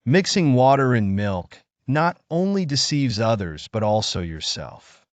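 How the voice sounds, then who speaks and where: A man voicing a kind, wise village aunt in a storybook, gentle but firm. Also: mid-range voice, very animated, unhurried, dry recording. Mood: kindly wisdom.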